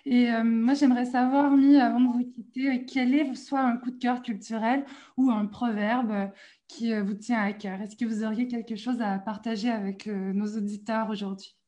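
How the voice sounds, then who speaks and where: A woman's voice: a little high, fairly even intonation, moderate pace, mellow. A woman hosting a radio interview, asking friendly questions with frequent fillers. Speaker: radio host.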